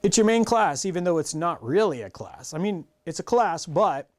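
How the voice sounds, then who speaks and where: Storybook schoolgirl, played by a man: talkative, helpful, rambling explanations, animated pitch. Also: moderate pace. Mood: explaining. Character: chatty, bossy, helpful schoolgirl.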